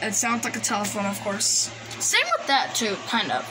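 A woman's voice: medium pitch, moderate pace. A woman giving a casual, hesitant, rambling show-and-tell in an informal way, recorded on a handheld phone. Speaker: collector presenting gadgets. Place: small indoor room at a desk. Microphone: handheld phone camera. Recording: noisy handheld recording, background hiss. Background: noise and radio devices.

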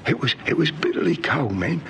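An actor playing a garrulous old hobo informant in an old radio drama, eager and halting, repeating his words in colloquial speech. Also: mid-range voice, lively intonation, a bit bright, lightly acted. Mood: confiding, rambling. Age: older. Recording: noisy vintage radio drama recording.

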